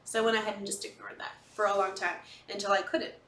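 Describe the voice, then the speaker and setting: A woman's voice: medium pitch, flat delivery, fast talker, vocal fry, fairly bright tone, slight background noise. A woman in her forties talking casually and candidly to her viewers, emphatic, acting out her own inner dialogue in a confessional monologue. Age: 40s. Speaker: podcast and video host. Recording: echoey room, distant microphone.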